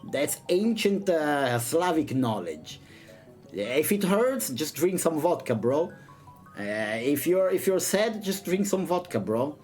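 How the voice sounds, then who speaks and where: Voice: medium pitch, very animated, slow pace, darkish tone, faint music behind. A non-native English speaker streaming, chatting casually in a jokey, sweary, slangy way between bits of dramatic read-aloud. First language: not English. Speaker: streamer reading a visual novel aloud and chatting.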